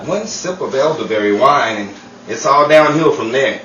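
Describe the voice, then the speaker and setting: Guy doing an excitable wisecracking 1940s radio comedy sidekick, lively pitch, sounds far from the mic. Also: normal speed, highs cut off, lightly acted. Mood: wry. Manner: theatrical, jumpy, wisecracking. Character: excitable wisecracking Hollywood freeloader pal, adult man. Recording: roomy, distant, very noisy amateur recording.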